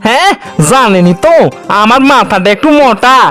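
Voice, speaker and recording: Dopey cartoon fox henchman, really high pitch, flattering and deferential, music behind. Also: moderate pace, heavily acted. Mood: meek. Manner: pleading, deferential. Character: dim-witted, flattering fox sidekick thief. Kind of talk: comic cartoon dialogue.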